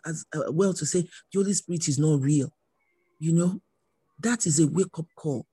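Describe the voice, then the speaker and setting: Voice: medium pitch, warm tone, husky, dry recording. A preacher giving an earnest sermon with personal testimony, repeating phrases for emphasis.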